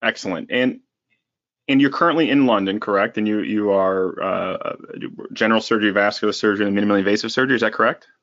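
A man's voice: lowish voice, fast, fairly bright tone, dry recording. A man hosting a podcast, reading a guest's bio with admiration and asking questions, hesitating with frequent uhs. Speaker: podcast host. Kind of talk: interview intro and questions. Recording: dull, dry sound.

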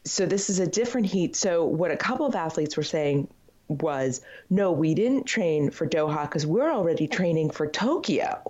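A woman's voice: sits low, lively intonation, moderate pace, darkish tone. A woman with a Midwestern accent co-hosting a podcast, chatting and asking her co-host questions. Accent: American Midwestern. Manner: conversational, asks questions, repeats for emphasis. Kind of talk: casual chat. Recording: dull lo-fi sound.

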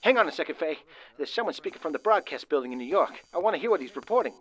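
Actor as bashful, stammering young man, animated. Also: a little high, racing. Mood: alarmed. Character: nervous, kindly young factory worker caught in invasion. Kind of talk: 1930s-style radio play acting.

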